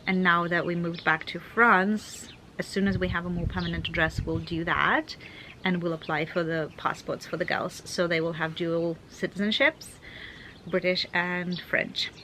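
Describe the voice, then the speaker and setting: A young woman talks casually to her camera, her voice sitting a little low. She chats along, thinking aloud and restarting sentences as she goes. A faint hiss runs under the recording. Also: steady tone, normal speed, pushed and bright. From Ukraine. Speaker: vlogger. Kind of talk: casual vlog chat to camera.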